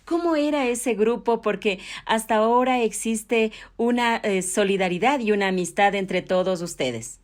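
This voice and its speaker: A woman's voice: mid-range voice, moderate pace, few pauses. A woman asks questions as an interviewer, conversational yet politely formal.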